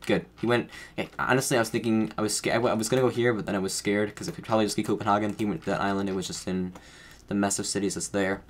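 Low-voiced man thinking aloud while gaming. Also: fairly even intonation, racing. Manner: rambling, self-correcting, thinking aloud while guessing. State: under the weather, voice a bit off. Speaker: gaming streamer. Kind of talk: casual play-by-play commentary.

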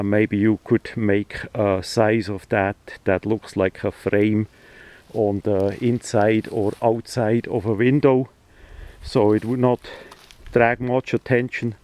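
Man, non-native English speaker, lowish pitch, very slow pace, hesitant phrasing, casual demo style. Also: darkish tone, no room sound. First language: not English (non-native speaker). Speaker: hobbyist video maker. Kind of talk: casual hands-on demonstration. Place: outdoors, beside a tarp on the ground.

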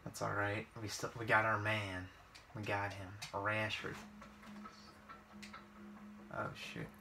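A man's voice: fairly low pitch, flat delivery, slow pace, vocal fry, background noise. A man giving casual, informal gameplay commentary, thinking aloud as he plays. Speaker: gaming video creator. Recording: game music behind the voice. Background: video game music.

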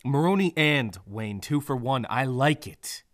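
A man playing a cynical, driven lawman with dark humor in a noir radio drama, wry in delivery. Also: mid-range voice, lively intonation, a little slow, close to an everyday voice. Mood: eager.

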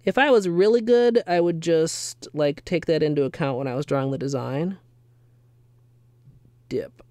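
A hoarse young woman chatting casually through a nail art tutorial at her desk at home, rambling with lots of fillers. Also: sits low, normal speed, warm tone, no echo. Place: small home room with space heater.

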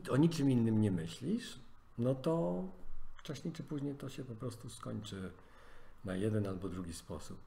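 A man speaks in an earnest, advice-giving way, often restarting and filling pauses as he talks. His voice sits at a mid pitch. A faint hiss runs under the recording. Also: darkish tone. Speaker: life coach. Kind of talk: podcast conversation.

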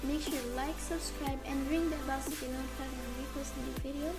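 A woman's voice: high-pitched, steady tone, fast. A female vlogger narrating a video as its co-host. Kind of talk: vlog narration. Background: faint music.

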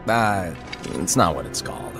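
Playful, mischievous master, wildly swooping delivery. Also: male voice, low-pitched, animated, music in the background. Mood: teasing. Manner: theatrical, teasing, drawn-out wordy delivery. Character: playful, mischievous hooded keyblade master, teasing mentor. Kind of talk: animated game cutscene dialogue.

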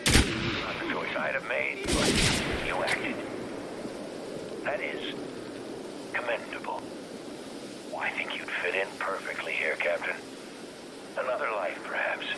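An actor playing a cold, commanding colonel who passes judgment in a measured, solemn, formal way over the radio in a video game. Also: male voice, fairly low pitch, huge pitch swings, vocal fry, heavy background noise, exaggerated voice. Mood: measured approval. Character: commanding colonel, cold judge of life and death. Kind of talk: video game cutscene acting.

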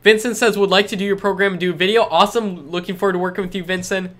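A man's voice: high-pitched, steady tone, very fast, a bit bright. A young man with a stuffed-up cold, reading chat questions aloud and answering them in an upbeat, salesy way during a live stream from a small room. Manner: upbeat, repetitive salesy reassurances. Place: small room with lit backdrop.

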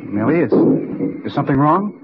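An actor playing a protective, matter-of-fact archaeologist husband in an old radio drama. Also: male voice, a little high, sing-song, brisk. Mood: brisk, concerned. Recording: narrowband old radio recording, faint noise.

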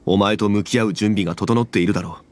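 An actor playing a calm, dignified immortal former god in a fantasy game, speaking in a composed and formal way. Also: male voice, fairly low pitch, fast talker, a little hiss or hum, close to an everyday voice. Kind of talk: Japanese game voice acting, dramatic dialogue.

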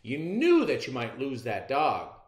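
A man's voice: medium pitch, wildly swooping pitch, a little slow. A man voicing a practical, concerned farm mother in a storybook, firm and coaxing. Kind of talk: storybook character reading. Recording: roomy echo.

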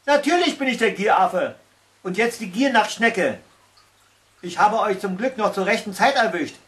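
A man's voice: high voice, animated, normal speed, fairly bright tone, pitched above the performer's own voice. A man voicing a scornful, boastful and lazy giant slug monster in a fairy tale, with a mocking, theatrical delivery. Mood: boastful, exasperated.

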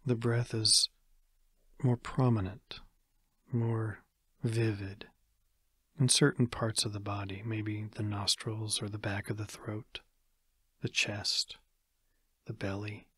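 Very slow, husky American man. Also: lowish voice, no room sound, clean studio sound. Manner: calm, measured, with long pauses. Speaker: meditation guide, psychologist. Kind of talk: guided body scan meditation.

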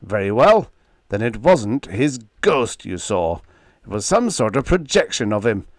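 A gruff old ship captain holds forth in a blunt, snapping manner, as a male narrator plays him. His tone is stubborn and blustery. The recording is dry and close. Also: on the low side, big pitch swings, unhurried, dry recording, full character voice. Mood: stern.

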